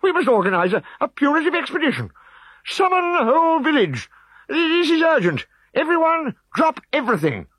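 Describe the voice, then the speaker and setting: A short-tempered storybook village chief shouts his orders in a high, ringing voice that leaps up and down. He is bossy and easily worked up. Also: lively intonation, unhurried, no room sound, heavily acted. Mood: indignant. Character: bossy, excitable male Gaulish village chief.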